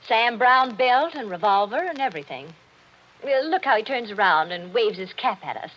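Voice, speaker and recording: Brittle, weary wife. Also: female voice, a little high, expressive, normal speed. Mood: strained. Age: middle-aged. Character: nervous, weary middle-aged wife, tense and brittle. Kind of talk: 1940s radio acting. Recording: dull old radio recording with faint hiss.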